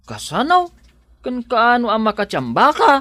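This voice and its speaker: A woman's voice: fairly high pitch, wildly swooping pitch, moderate pace, a bit bright, no echo. A woman with an Ilocano accent playing a playful, bantering young woman in a radio drama. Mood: teasing.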